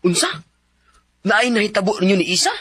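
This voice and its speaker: A man's voice: high-pitched, sing-song, brisk, over loud music. An actor playing a nosy, teasing male friend with a Cebuano accent in a radio drama. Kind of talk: Philippine radio drama acting.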